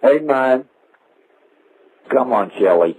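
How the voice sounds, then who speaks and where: A middle-aged man who runs a roofing business, trading crude, mocking insults in a slow drawl over a tinny phone line. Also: medium pitch, animated, a little hiss or hum. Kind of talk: comic phone-call banter.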